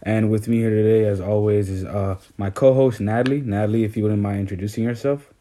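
A young man from Haiti, a graduate student, hosting a podcast in a steady, slightly halting way. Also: on the low side, slight background noise. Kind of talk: semi-scripted podcast hosting.

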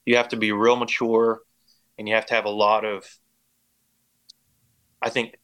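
A man's voice: on the low side, level tone, normal speed, fairly bright tone, rough recording. An American man giving personal testimony as an interview guest, speaking in an earnest, reflective way. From Indiana and Oklahoma, United States. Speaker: podcast guest.

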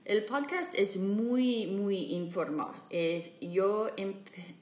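A woman's voice: medium pitch, very slow, a little mellow, roomy sound. A woman, hosting a casual podcast interview in Spanish as a learner, searching for her words. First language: English. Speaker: podcast host.

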